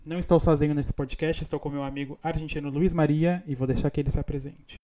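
A man's voice: fairly high pitch, steady tone, quick pace, warm tone. A Brazilian man hosting a podcast in casual chat, talkative, with frequent restarts and laughs. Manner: chatty, laughs often, many restarts. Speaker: podcast host.

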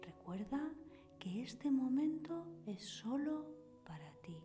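Female meditation podcast host, soothing breathy whisper, very slow delivery, scripted sleep guidance with clear background music. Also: high-pitched, big pitch swings. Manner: soothing, unhurried pauses between short phrases. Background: soft meditation music with singing bowls.

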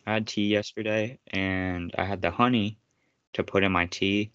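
Deep-voiced man teasing in a dry room. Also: fairly even intonation, normal speed, a bit bright, smooth, no echo, lo-fi sound. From United States. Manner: rambling, teasing, laughs often. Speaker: podcast co-host. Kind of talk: casual chat.

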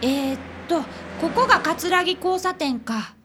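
A woman's voice: very high voice, animated, a little slow, noisy background. A woman playing an earnest, polite grade-school boy apprentice detective with a Kansai accent in a radio drama, both narrating and in dialogue. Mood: earnest explaining. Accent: Kansai Japanese.

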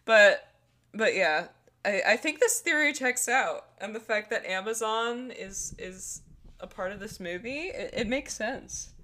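Young woman podcast cohost, bright tense voice, giggly and chatty, likes everywhere. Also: a little high, animated, a little slow. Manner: giggly, chatty, says "like" a lot. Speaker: podcast co-host. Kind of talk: casual chat.

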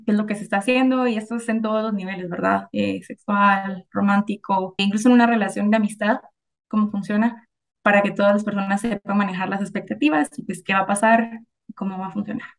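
A woman's voice: mid-range voice, fairly even intonation, fairly quick, vocal fry. A woman in her twenties, a guest in a casual group discussion, speaking thoughtfully and hesitantly with many fillers. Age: 20s.

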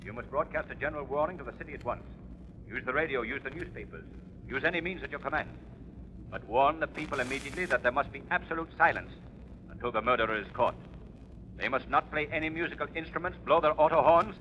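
An actor playing a calm, cunning, commanding crime-fighter with deliberate, dramatic delivery in a 1930s radio drama. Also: male voice, mid-range voice, lightly acted. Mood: urgent warning. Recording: dull, noisy 1930s radio recording.

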